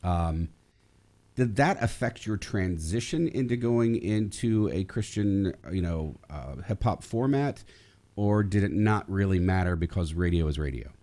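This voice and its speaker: A man hosting a conversational radio interview, talking in a halting way as he thinks aloud. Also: deep voice, expressive, warm tone. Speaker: radio show host interviewing a former student.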